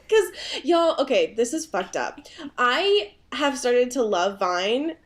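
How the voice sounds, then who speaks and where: A woman's voice: sits high, very animated, takes it slowly. Casual, slangy woman podcaster. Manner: self-mocking, slangy, swears freely. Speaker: podcast co-host. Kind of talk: casual comedic book discussion chat.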